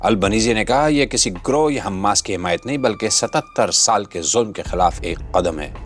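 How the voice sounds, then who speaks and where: A man's voice: fairly low pitch, lively intonation, moderate pace, slight background noise. A man hosting a scripted opinion commentary, speaking in a dramatic, rhetorical and sardonic manner. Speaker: host of a commentary channel. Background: background music.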